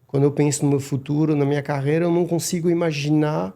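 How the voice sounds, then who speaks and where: Gravelly, dark-voiced man. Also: fairly high pitch, level tone. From Madagascar. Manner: halting, with frequent restarts and repeated words. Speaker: podcast guest. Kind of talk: casual interview talk.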